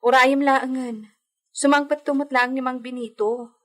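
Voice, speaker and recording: High-voiced young lady played by a woman. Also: steady tone, measured pace, a bit bright. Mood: probing, assured. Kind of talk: Ilocano radio drama acting.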